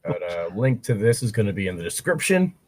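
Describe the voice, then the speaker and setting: A man's voice: lowish voice, very animated, fairly quick, a little mellow. A man chatting casually and reading aloud as co-host, breaking off for sardonic, sweary asides. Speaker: co-host of a fan fiction reading show. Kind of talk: casual banter and read-aloud with commentary.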